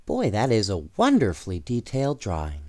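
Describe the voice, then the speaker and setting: A man reading a children's storybook aloud in an expressive, unhurried way, with casual asides. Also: lowish voice, dry recording. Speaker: storybook reader.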